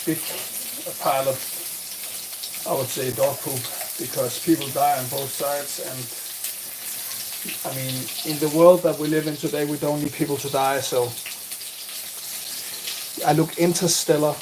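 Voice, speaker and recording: A man's voice: on the high side, little pitch movement, a little slow, long pauses. A man with a Danish accent, a streamer chatting casually with viewers in a rambling way while he cooks, recorded on a phone. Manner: rambling, answers chat directly, many restarts. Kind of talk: casual live-stream chat. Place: home kitchen while cooking. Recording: loud kitchen noise from frying and tap. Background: frying, sizzling and a running tap.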